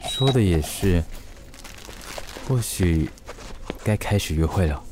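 A man's voice: low-pitched, animated, slow pace, background noise. An actor playing a good-natured, easily smitten widowed single father in a radio drama, musing to himself expressively. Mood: friendly. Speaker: voice actor, lead. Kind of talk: radio drama acting with inner monologue.

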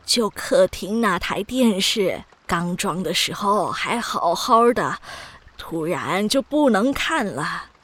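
An elderly grandmother in an audio drama. She talks slowly in a husky voice, kindly and chatty, with a fretful streak. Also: medium pitch, lively intonation, a bit bright. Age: older. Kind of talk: Mandarin audio drama acting.

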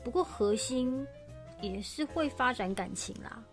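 A woman's voice: fairly high pitch, expressive, unhurried. A young woman, co-hosting a casual chat about her radio drama, laughing often as she talks. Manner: giggly, chatty, laughs often. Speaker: radio drama co-host and voice actor. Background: music.

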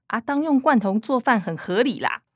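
Know-it-all young daughter, taiwanese mandarin, highish voice, dry close sound. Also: female voice, expressive, normal speed, no echo. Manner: explanatory, matter-of-fact. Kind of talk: radio drama acting.

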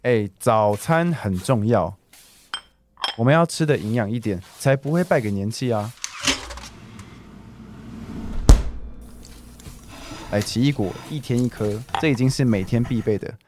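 An actor playing a caring, health-conscious man around forty in a radio drama, gentle and chatty, with Taiwanese Mandarin speech. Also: mid-range voice, lively intonation, moderate pace, noisy background, close to an everyday voice.

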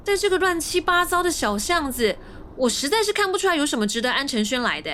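A woman's voice: sits high, very animated, fast, fairly bright tone, slight background noise. A woman playing a sassy, impatient young woman in a suspense radio drama, teasing and scolding as she talks. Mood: curious, gossipy.

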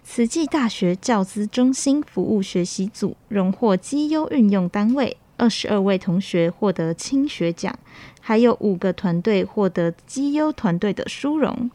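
A woman's voice: medium pitch, animated, unhurried, mellow, no echo. A young woman with a Taiwanese Mandarin accent reading scripted news as a student anchor. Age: young adult.

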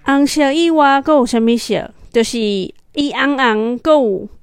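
A woman's voice: sits high, unhurried, clear, resonant tone, no echo, studio quality. A woman with a Taiwanese accent talking children through a picture book as their mother, asking guiding questions and repeating answers.

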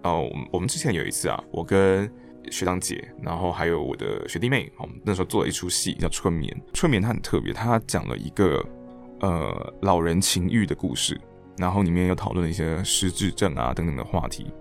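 A man's voice: low-pitched, big pitch swings, a little fast, vocal fry, soft background music. A man with a Taiwanese Mandarin accent, talking earnestly and reflectively as a director and actor being interviewed. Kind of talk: reflective casual interview chat.

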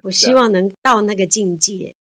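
A woman in her fifties from Taiwan, a guest in a casual interview, cheerful and quick to laugh. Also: mid-range voice, dry recording. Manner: cheerful, laughs often, quick bursts of enthusiasm.

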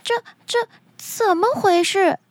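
A woman plays an arrogant fairy-tale princess with a quick temper. The voice is very high and sweeps up and down as she gives imperious orders. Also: big pitch swings, dry recording, heavily acted. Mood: flustered anger. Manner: haughty, imperious.

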